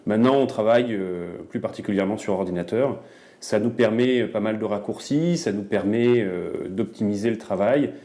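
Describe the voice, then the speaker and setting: Man, documentary interviewee; warm low voice, hesitant explanatory delivery. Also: normal speed. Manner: explanatory, many hesitations with euh. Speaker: animation professional, scriptwriter or storyboard artist.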